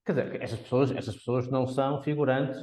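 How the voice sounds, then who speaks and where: Man, Porto accent, economist guest, measured and explanatory, roomy echoey sound. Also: mid-range voice, fairly even intonation, warm tone. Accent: Portuguese, Porto. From Porto, Portugal. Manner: measured, explanatory, frequent restarts. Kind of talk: podcast interview.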